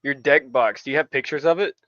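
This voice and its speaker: A man's voice: mid-range voice, brisk, fairly bright tone, no echo. A man co-hosting a podcast, teasing the guest and asking him to explain his build. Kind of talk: casual chat. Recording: slightly dull, lo-fi sound.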